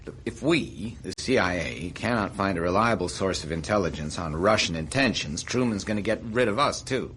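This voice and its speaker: A man's voice: deep voice, lively intonation, normal speed, hardly any pauses, a bit bright, slight background noise. An actor playing an earnest, somewhat hesitant male intelligence officer in a naturalistic radio drama. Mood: worried. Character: Richard Helms, earnest male CIA officer seeking advice.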